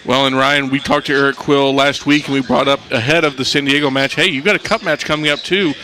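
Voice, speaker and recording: A man's voice: medium pitch, steady tone, quick pace, sharp tone. A man hosting a radio soccer pregame show, reading the lineups and putting questions to his analysts. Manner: reads lineups, then poses questions to the analysts. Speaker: pregame host.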